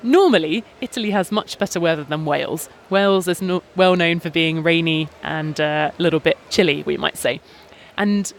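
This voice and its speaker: A British man hosting a podcast outdoors, talking in a measured, articulate way with small hesitations. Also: high voice, a little hiss or hum. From Britain. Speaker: podcast host and journalist. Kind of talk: casual podcast talk. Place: outdoors in a busy town square.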